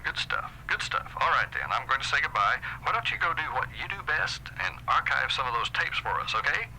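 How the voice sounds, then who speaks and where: An actor playing a smooth, condescending male corporate boss who talks in a patronizing, falsely friendly way. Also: medium pitch, very animated, fairly quick, noisy background. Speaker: employer. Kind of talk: audio drama acting.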